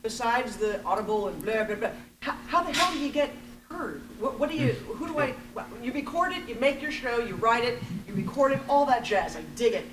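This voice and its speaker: A woman asking a rambling, informal question from the audience, effusive, halting and self-deprecating, picked up in a convention room. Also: on the high side, lively intonation. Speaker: audience member asking a question, acting teacher. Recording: distant, roomy, noisy hall pickup.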